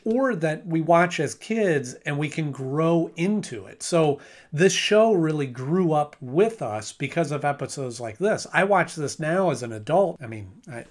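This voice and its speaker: A man giving a casual video review of a cartoon episode, rambling and correcting himself with frequent restarts. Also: on the high side.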